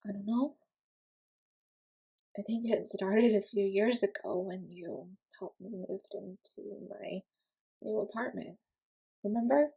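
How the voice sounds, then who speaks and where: A woman acts out an awkward young woman opening up to a friend in an intimate audio roleplay. She talks casually and slowly, with hesitations and self-deprecating, sarcastic wit. The voice is slightly husky and noticeably creaky. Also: mid-range voice, mellow, heavily acted, low-quality recording. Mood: tender, hesitant.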